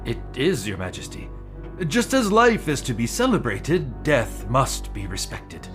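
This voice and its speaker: An actor playing a solemn, deferential viceroy with a formal, florid, portentous manner in a gothic audio drama. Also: male voice, mid-range voice, huge pitch swings, a little slow. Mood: solemn deference. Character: solemn, theatrical viceroy courtier, deferential and ominous. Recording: loud music behind.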